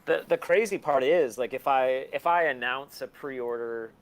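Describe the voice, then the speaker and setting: A man chatting casually as an interview guest, self-deprecating and quick to swear. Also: medium pitch. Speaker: podcast guest, record label and museum owner. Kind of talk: casual chat.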